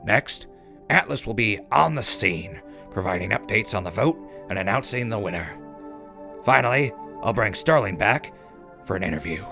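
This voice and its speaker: A man's voice: lowish voice, creaky. A man playing a wry, cheeky wasteland radio host with folksy, mock-gracious announcer patter. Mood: upbeat. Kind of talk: scripted in-character radio broadcast.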